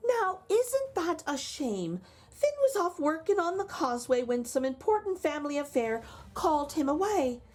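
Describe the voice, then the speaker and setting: A sly giant wife voiced by a woman reader with a very high, honeyed, coaxing voice. Her speech swoops up and down like a storybook hostess sweet-talking a guest. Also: big pitch swings, normal speed, darkish tone. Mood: sweetly coaxing. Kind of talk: read-aloud children's storybook voice.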